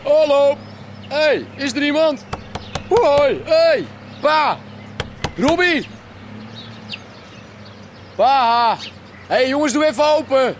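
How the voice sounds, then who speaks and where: A rowdy, aggressive son, calling out in a very high, raised voice. He is coarse and slow-paced, with faint outdoor noise around him. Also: exaggerated voice. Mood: urgent calling. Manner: calling out loudly, coarse, threatening. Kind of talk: radio drama acting.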